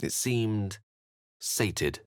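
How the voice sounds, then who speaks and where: A man playing an erudite, theatrical wizard in a video game, speaking and narrating in eloquent, precisely measured phrases. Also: fairly low pitch, animated, clear, resonant tone, dry recording, studio quality. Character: erudite, urbane male wizard with a theatrical streak.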